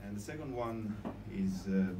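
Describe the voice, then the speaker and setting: A young man at a small open-mic night, introducing and reciting poems in a hesitant, measured way, recorded on a handheld mic from out in the audience. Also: fairly low pitch, expressive, recorded from a distance. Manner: hesitant, many fillers when explaining. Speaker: poetry reader. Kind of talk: poetry reading at an open mic. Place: small cozy room with string lights, indoors. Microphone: handheld mic, filmed from far in the audience. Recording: echoey room recorded from afar, noisy.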